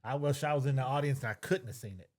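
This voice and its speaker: A middle-aged man with a mid-range voice. He talks casually and bluntly, circling back to his opinion in a relaxed podcast conversation. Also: medium pitch, fast. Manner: blunt, repeats his point. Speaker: podcast co-host.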